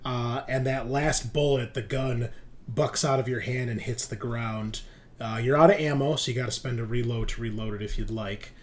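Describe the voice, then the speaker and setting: A man's voice: medium pitch, fairly even intonation, moderate pace. A man running a tabletop roleplaying game as game master, narrating in a casual, colloquial way.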